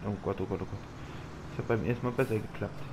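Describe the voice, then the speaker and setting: A man's voice: lowish voice, fairly even intonation, fast talker. A man with a slight cold, commenting casually on his farming game and thinking aloud as he drives. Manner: rambling, thinking aloud with fillers. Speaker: gaming channel host. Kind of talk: casual let's-play commentary. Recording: audible background noise.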